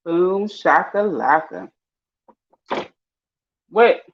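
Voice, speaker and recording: A woman with a Panamanian accent, chatting casually with her viewers while she cooks, lively and full of slang. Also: very slow, gravelly. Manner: lively, chatty, slangy, swings high when excited. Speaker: vlogger. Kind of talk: casual live-stream chat while cooking. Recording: dull lo-fi audio.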